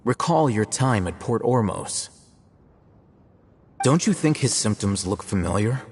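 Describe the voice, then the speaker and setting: Cool logical male scholar, game, calm measured lecturing, lowish voice, long reverb. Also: slightly rough. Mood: explaining. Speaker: academy scribe. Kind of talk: game cutscene voice acting.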